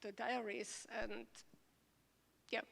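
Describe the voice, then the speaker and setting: A woman moderates an onstage talk, asking questions haltingly and restarting them. Her voice sits somewhat high. A long hall reverb surrounds her. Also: level tone, creaky, a bit bright. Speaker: scholar moderating an onstage conversation. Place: stage of a large hall before an audience.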